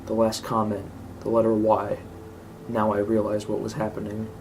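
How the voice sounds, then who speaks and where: A man's voice: lowish voice, completely flat delivery, moderate pace, darkish tone. A man reading a scripted horror story aloud as narrator. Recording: clearly noisy home recording.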